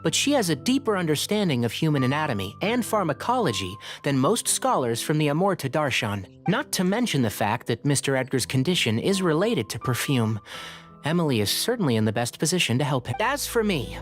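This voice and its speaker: Young ranger scholar, level-headed, lively intonation, music under. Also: male voice, a little high. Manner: measured, reasoned, reassuring. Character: calm young male forest ranger scholar. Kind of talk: video game voice acting. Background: game music.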